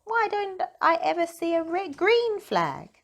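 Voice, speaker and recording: Storybook young boy locomotive, played by a woman, high-pitched, childlike, eager, playful, easily cross, swooping intonation. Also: wildly swooping pitch, unhurried, gravelly, heavily acted. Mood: frustrated.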